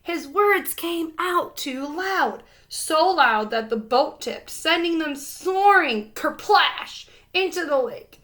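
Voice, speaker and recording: Female storybook reader, high-pitched and very bright, expressive narration for young children. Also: slow pace, hardly any pauses.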